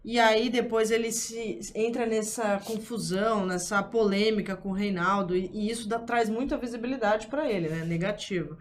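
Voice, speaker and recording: A woman's voice: mid-range voice, normal speed, few pauses, a little hiss or hum. A woman hosting a political commentary discussion, who talks hesitantly with many fillers and restarts. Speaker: host.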